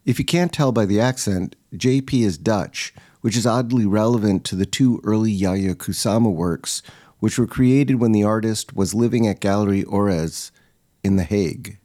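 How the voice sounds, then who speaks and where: A man narrates a report in a steady, scripted way. His voice is low and has a soft, mellow tone. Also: moderate pace. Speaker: host and narrator.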